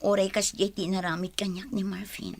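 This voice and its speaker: A woman with an Ilocano accent playing a heartbroken, naive woman in love in a radio drama, plaintive and soft-hearted. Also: mid-range voice, little pitch movement, moderate pace, vocal fry, dry recording. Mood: hurt pleading. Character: lovesick, heartbroken, naive woman clinging to a man.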